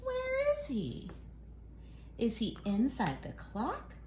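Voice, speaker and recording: A woman's voice: on the high side, huge pitch swings, slow pace. A woman reading a picture book aloud to young children as an educator, in an exaggerated, sing-song storytime manner. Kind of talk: animated children's read-aloud, modeling core words. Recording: loud noise behind the voice.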